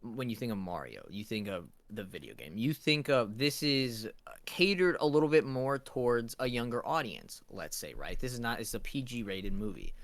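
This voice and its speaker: A man's voice: medium pitch, sing-song, normal speed, dry recording. A man co-hosting a casual review discussion, explaining his points and often checking in with the listener. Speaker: co-host asking questions.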